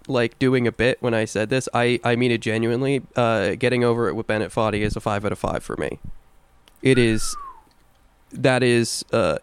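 A man with a low, smooth voice talks games on a podcast, earnest and deliberate with a dry wit. The sound is close and dry, with no room around it. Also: no echo. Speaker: podcast co-host. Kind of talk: casual chat.

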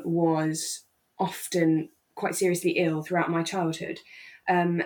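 A woman with a slightly low voice chats as a podcast co-host. She talks casually and reflectively, working out her thoughts aloud and often circling back over a phrase.

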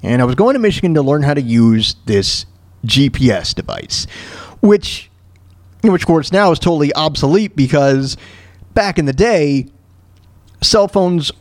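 A man in his thirties hosting a talk show alone, telling stories and ranting casually in a halting, repetitive way with frequent throat clearing. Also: a little high, a little mellow, no echo. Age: 30s. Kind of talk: casual monologue, ranting and personal storytelling.

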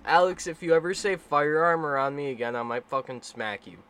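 A young man chatting casually on a podcast while high, rambling and teasing his friends. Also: fairly quick, fairly bright tone, clear, resonant tone. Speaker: podcast co-host. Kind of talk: casual chat.

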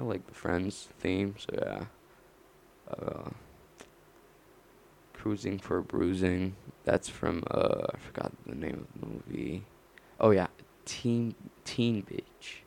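A young man rambling on his own late at night, mumbling slowly and halting often with fillers. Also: low voice, lively intonation, vocal fry, darkish tone, slight background noise.

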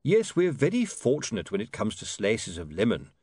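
A man's voice: fairly low pitch, huge pitch swings, normal speed, darkish tone, breathy edge, no room sound, heavily acted. A man voicing a fussy, overly courteous male beggar in an audiobook. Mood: genteel. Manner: polite, affected, overly courteous. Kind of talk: audiobook character voice.

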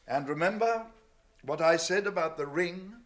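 An actor playing a wise, watchful old wizard with a British accent in a radio drama, speaking in a measured, cautionary and cryptic way. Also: male voice, on the high side, fairly bright tone, lightly acted. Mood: urgent, secretive.

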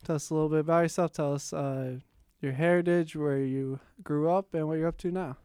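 A young man hosting a casual podcast conversation, chatty and quick to laugh. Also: fairly high pitch, steady tone, a little mellow, clean tone, no echo, studio quality. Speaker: podcast host.

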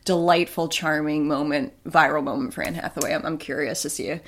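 A woman's voice: low-pitched, steady tone, brisk. A young woman co-hosting a podcast in casual, wry, filler-heavy chat. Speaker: podcast co-host.

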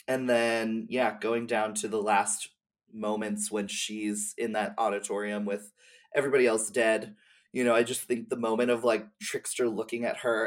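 A woman guest giving casual, informal commentary on game lore, thinking aloud as she goes. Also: extremely low pitch. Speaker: podcast guest.

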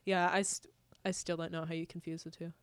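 A woman's voice: fairly low pitch, flat delivery, brisk, clear, resonant tone, dry recording. Giggly young American woman chatting casually. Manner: giggly, laughs often while talking. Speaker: podcast co-host. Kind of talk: casual comedic banter chat.

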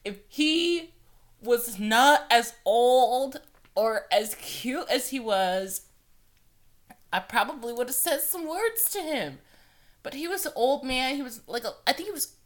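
A woman's voice: high-pitched, animated, a little slow. A young woman hosting her own podcast, chatting loosely and profanely and laughing often. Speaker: podcast host. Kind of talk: casual solo podcast monologue.

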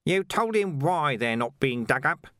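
Scruffy watchman for an audiobook, high voice, keeps at it, bouncy pitch. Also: expressive, unhurried, fairly bright tone, no echo, clean studio sound. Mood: wry. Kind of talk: audiobook character voice.

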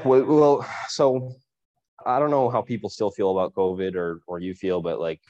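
A man's voice: on the low side, dark tone, highs cut off. A man co-hosting a podcast, asking questions and teasing his friend dryly in a casual chat. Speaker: podcast co-host.

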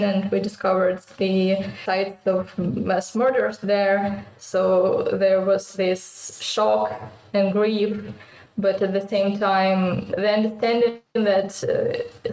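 Ukrainian-accented woman, interview guest, unhurried and halting, roomy echo. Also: mid-range voice, darkish tone. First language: Russian. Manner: thoughtful, hesitant with many pauses. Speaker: artist guest. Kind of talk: interview conversation.

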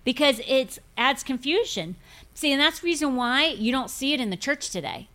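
A woman in her fifties speaks with a forthright, preachy conviction as she hosts a relaxed podcast chat. Her delivery is emphatic, with repeated words and firm pronouncements. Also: high voice, moderate pace. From grew up in Pentecostal churches. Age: 50s. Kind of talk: casual podcast chat on faith.

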